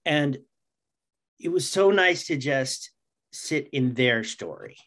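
A middle-aged man speaking as an interview guest, earnest and halting, in conversation over a video call. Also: mid-range voice, expressive, a bit bright. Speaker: interview guest, comic writer.

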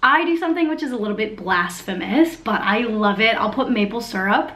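A woman's voice: on the high side, animated, fairly quick, fairly bright tone. A young woman from North America chatting casually in a vlog, upbeat and talkative, often using "like" as a filler. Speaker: vlogger.

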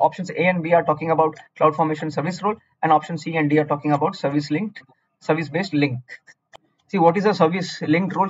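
An Indian man rattles through exam answers at a rapid clip, repeating key points and bluntly scolding wrong choices. His voice sits a little high. The voice-over sounds dull and lo-fi, with a faint hiss underneath. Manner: blunt, repetitive, scolding lecturing. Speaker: cloud certification tutor. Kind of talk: screen-recorded exam walkthrough.

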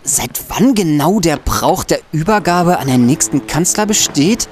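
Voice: medium pitch, expressive, measured pace, a little mellow, slight background noise, lightly acted. An audio-drama performer playing a boastful, geeky student hacker, an eager and cocky explainer. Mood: excited. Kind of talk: German audio drama acting.

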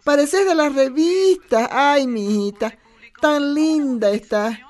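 A high-voiced old Bolivian grandma in a radio drama. She is folksy and doting, protective of her family, and talks in fond diminutives. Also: takes it slowly, a little mellow. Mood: tender, doting. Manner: affectionate, folksy, uses many diminutives.

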